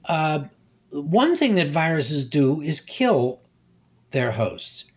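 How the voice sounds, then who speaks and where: An older man, a guest scientist, explaining slowly and deliberately, pausing and repeating words as he thinks. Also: a little high, sing-song. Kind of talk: unhurried expert explanation.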